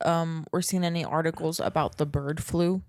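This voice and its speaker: A young adult woman co-hosting a casual podcast, talking loosely with lots of "like" fillers. Her voice sits low for a woman. The recording is close and dry, with no room around it. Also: fairly even intonation, moderate pace, darkish tone, no room sound. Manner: casual, heavy use of "like". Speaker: podcast co-host. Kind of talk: casual chat.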